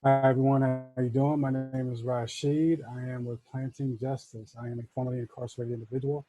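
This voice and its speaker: Flat, mellow-voiced man in his forties. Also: medium pitch, a little fast. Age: 40s. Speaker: reentry coordinator. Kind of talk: casual speech to an audience.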